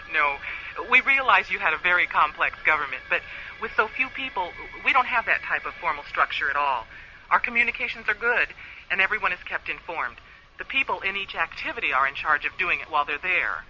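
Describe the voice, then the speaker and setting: A woman's voice: lowish voice, expressive, brisk, pushed and bright, slight background noise. A woman playing a friendly, candid space communications officer in a radio play, chatty and a little apologetic over a radio link. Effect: radio transmission filter. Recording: dull band-limited radio link, music behind.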